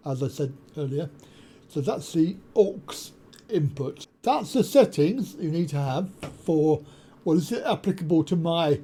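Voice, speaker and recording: Guy explaining his pc audio setup step by step, lots of ums, warm mellow sound, sits at his home desk. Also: on the high side. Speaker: tech tutorial host. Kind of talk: screen-share software walkthrough. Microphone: desktop USB condenser mic.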